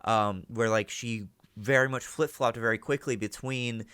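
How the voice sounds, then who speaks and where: A man gives casual reaction commentary in a bright, forward voice at a middling pitch. He thinks aloud in an animated, unfiltered way. The sound is dry, with very little room. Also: unhurried, clear, resonant tone, no room sound.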